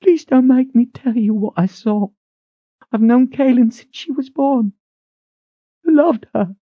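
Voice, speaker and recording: A man's voice: sits high, expressive, measured pace, no room sound, voice pushed up. A man voicing a thin older woman, a head cook, speaking in an uneasy, broken voice. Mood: grieving. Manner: quavering, broken voice. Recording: dull lo-fi audio.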